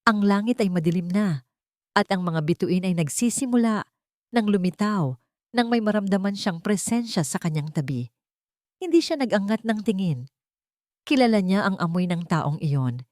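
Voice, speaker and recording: Low-voiced woman narrating dramatic fiction. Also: darkish tone, no room sound. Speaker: storyteller. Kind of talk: scripted dramatic narration of a love story.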